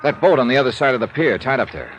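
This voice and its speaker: An actor playing a tough, wry American insurance investigator in 1950s hardboiled radio drama, punchy and colloquial. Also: male voice, medium pitch, big pitch swings, quick pace, lo-fi sound, over music, lightly acted. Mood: alert. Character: tough, wry American insurance investigator who narrates his own cases. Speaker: lead character and narrator. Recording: noisy old radio broadcast recording.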